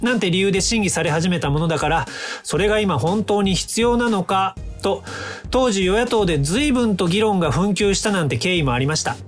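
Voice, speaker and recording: An actor in a radio drama playing a calm, smug inventor from the future, polite and lecturing in a self-assured way. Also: male voice, high-pitched, fairly quick, faint music behind. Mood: coolly confident.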